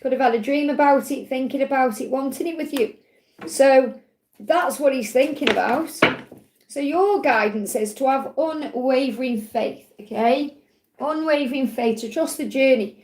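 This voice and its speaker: Slightly croaky woman, echoey room. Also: high-pitched, normal speed, a little hiss or hum. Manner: gently advising, repeats "okay?" often. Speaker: spiritual reader answering live chat questions. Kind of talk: live-stream Q&A, casual and reassuring.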